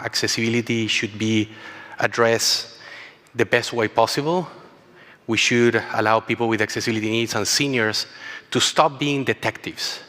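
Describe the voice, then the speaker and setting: A man from Chile talks with a Chilean Spanish accent and a bright, forceful tone. He tells a personal story in an earnest way and often repeats words. His voice carries a long reverb from the large conference hall. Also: lowish voice, clean tone. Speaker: keynote speaker.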